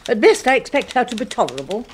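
An English actress playing a prim, priggish older secretary in a radio drama, speaking stiffly and precisely. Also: female voice, fairly high pitch, very animated, fast talker, a little hiss or hum. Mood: haughty disapproval. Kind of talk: 1970s radio drama acting.

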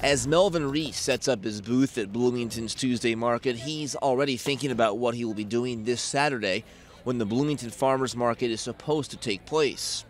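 A man narrating a local TV news report in an even, measured newsreader delivery. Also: mid-range voice.

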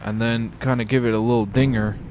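A man's voice: mid-range voice, fairly even intonation, fast talker, darkish tone, slight background noise. A man chatting and commentating in character in a game roleplay, casual and exclamatory, with some swearing. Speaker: gamer, roleplay video host. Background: game ambience and vehicle sounds.

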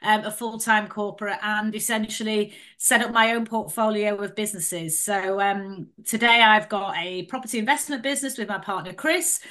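A woman's voice: mid-range voice, moderate pace, hardly any pauses. A woman in her fifties with a Mancunian accent, chatting as an interview guest about her career. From north of England. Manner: chatty, warm storytelling with frequent "um" and "you know". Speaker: guest, entrepreneur and podcaster. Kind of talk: casual interview chat.

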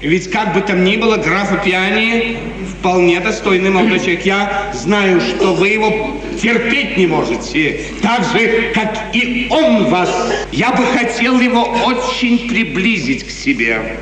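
An actor playing an imperious, passionate and volatile Italian prince with theatrical, emphatic delivery in a 1950s Soviet radio play. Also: male voice, sits high, expressive, a little slow. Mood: curious, lordly. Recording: echoey, distant 1950s radio recording.

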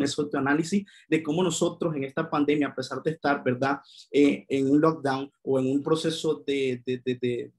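A young man, speaking earnestly as a panelist on a video call webinar and often adding tag questions. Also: a little high. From Nicaragua. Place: indoor room at home.

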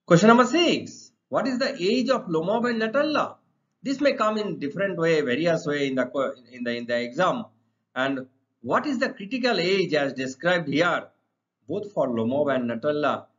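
A middle-aged man with an Indian accent, teaching English to students in deliberate, emphatic, repetitive phrases. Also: fairly high pitch, lively intonation. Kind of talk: exam lesson explained to students. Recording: dull, lo-fi sound.

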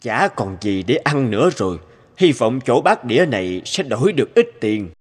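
A man playing an anxious, greedy middle-aged merchant in a cartoon, with an expressive, blustering delivery. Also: medium pitch, normal speed, husky. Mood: weary, regretful. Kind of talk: cartoon voice acting.

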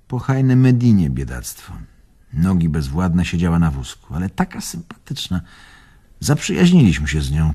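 An actor playing an animated, teasing old man who reminisces theatrically in a radio drama. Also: low voice, husky, exaggerated voice. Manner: theatrical, expansive storytelling.

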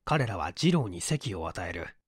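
A man's voice: deep voice, sing-song, a little slow, breathy edge, dry recording, studio quality. A man narrating mystery fiction from a script as an audiobook reader, in a dramatic manner.

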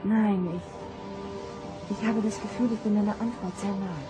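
A woman's voice: mid-range voice, fairly even intonation, unhurried, music in the background, lightly acted. A woman playing a sensitive, troubled starship officer in an audio drama, anxious and intense. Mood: troubled, searching. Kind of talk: German science fiction audio drama acting.

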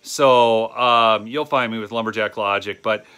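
A man's voice: lowish voice, steady tone, measured pace, pushed and bright, clear, resonant tone. A man in his thirties with a Minnesotan accent, hosting a casual live stream from a wooden shed, rambling with many ums and addressing viewers directly. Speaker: channel host. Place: small wooden shed or garage, indoors. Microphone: phone camera held close.

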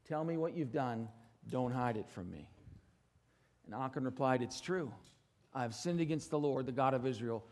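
A man's voice: medium pitch, expressive, moderate pace, pauses often, a little mellow. Man preaching emphatically in church. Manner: emphatic preaching with dramatic volume swings, asks the congregation for assent. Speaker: pastor. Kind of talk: sermon. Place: church stage with congregation.

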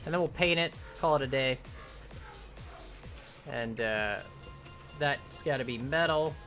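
A young man at his desk mic talks his way through a build on a live stream, wandering from thought to thought and correcting himself as he goes. His bright voice is wearing thin and turning hoarse. Soft music plays underneath. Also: mid-range voice, measured pace. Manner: rambling, self-correcting, thinks aloud. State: voice going hoarse. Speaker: game streamer. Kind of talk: casual live-stream commentary while building. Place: home room at a computer.

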